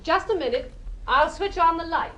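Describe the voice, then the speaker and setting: An actress with an English accent playing a poised, teasing woman in love in an old-fashioned radio drama, with a coquettish, brisk manner. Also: extremely high pitch, noisy background. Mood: bright, flirtatious. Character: poised, teasing woman luring her adoring lover along.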